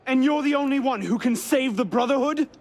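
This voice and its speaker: A man's voice: lowish voice, expressive, moderate pace, a bit bright, breathy edge. An actor playing a determined young male assassin in a video game, dry and brooding in his manner. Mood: accusing. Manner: dry, resolute. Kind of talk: video game dramatic acting.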